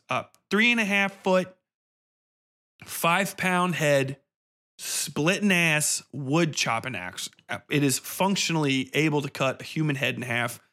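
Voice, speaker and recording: Bright-voiced man ranting sarcastically about a film. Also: on the high side. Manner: sarcastic, irreverent, rambling. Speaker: podcast host reviewing a film. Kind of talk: casual, profane film review monologue.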